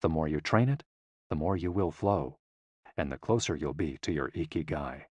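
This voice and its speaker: A man reading a scripted audiobook in a measured, clearly enunciated way. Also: extremely low pitch, lively intonation, no room sound. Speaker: audiobook narrator.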